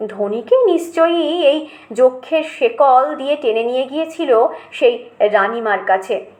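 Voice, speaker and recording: High-voiced woman storyteller, echoing sound. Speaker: storyteller, audiobook narrator. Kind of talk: scripted literary narration of a childhood memoir. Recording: roomy, echoing voice recording.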